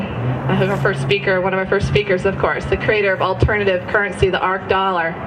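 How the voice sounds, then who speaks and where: A woman in her thirties giving an earnest speech to an audience, with frequent fillers and self-corrections. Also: medium pitch. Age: 30s. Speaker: guest speaker at a rally. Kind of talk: public speech. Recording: distant mic with audience noise.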